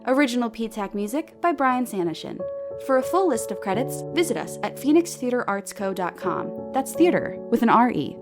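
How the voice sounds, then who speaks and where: A woman's voice: fairly high pitch, very animated, moderate pace. A woman announcer reading scripted credits for an audio drama series. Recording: loud guitar music behind voice. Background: loud guitar music.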